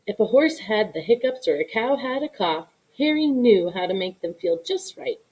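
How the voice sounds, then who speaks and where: A woman giving an expressive read-aloud narration of a children's story. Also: fairly high pitch, normal speed, rough recording. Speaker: storybook reader.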